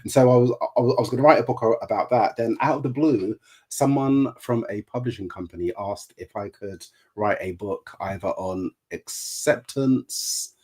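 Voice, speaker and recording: A middle-aged therapist and life coach answering interview questions, speaking with a stutter that makes him repeat words and sounds. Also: male voice, medium pitch, moderate pace, a little mellow, husky.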